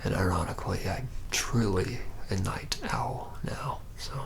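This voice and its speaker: Reluctant young male vampire, acted by a man, ASMR roleplay. Low, very slow, whispery, wry and halting. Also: exaggerated voice. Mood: hesitant, reminiscing. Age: young adult. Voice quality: breathy whisper with gravelly creak. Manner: hesitant, halting, wry and deadpan. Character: reluctant, jaded young male vampire, former warehouse worker.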